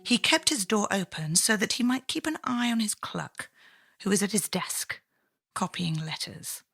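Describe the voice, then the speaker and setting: A woman narrating a children's story with theatrical, deliberate, suspenseful phrasing. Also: medium pitch, big pitch swings, vocal fry, a bit bright, breathy edge, dry recording, clean studio sound.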